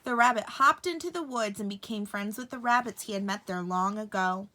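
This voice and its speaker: A woman narrating a bedtime story for children in an expressive storytelling style. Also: medium pitch, fairly quick.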